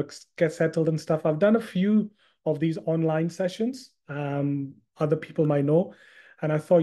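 A man's voice: on the high side, warm tone. A man in his thirties from Hong Kong, teaching an interactive online class over a video call, chatty and often repeating words as he asks the group questions. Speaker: Islamic studies teacher.

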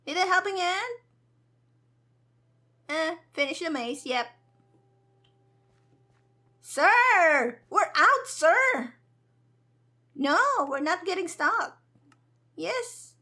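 A woman's voice: very high voice, sing-song, very slow, long pauses, bright, forceful tone. A woman streamer giving casual, playful gameplay commentary in a cheeky, hyper, giggly manner. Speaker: gaming streamer, virtual avatar host.

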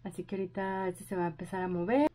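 A young woman chatting excitedly in a casual vlog, thinking aloud as she goes. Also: lowish voice, quick pace, a little hiss or hum. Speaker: vlogger.

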